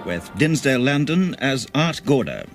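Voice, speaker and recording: A British man makes formal radio drama announcements. His mid-pitched voice moves with wide, deliberate intonation over loud theme music. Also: very animated. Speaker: continuity announcer.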